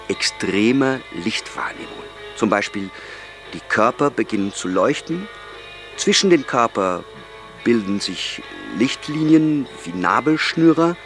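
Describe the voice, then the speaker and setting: An actor playing an earnest, solemn young man speaking deliberately in an audio drama. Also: mid-range voice, fairly bright tone.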